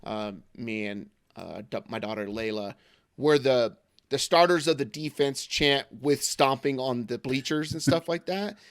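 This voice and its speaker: Hoarse American man chatting sports. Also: medium pitch, a little slow, dry recording, clean studio sound. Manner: rambling, filler-heavy, joking. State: hoarse from losing his voice. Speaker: podcast co-host. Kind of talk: casual sports chat.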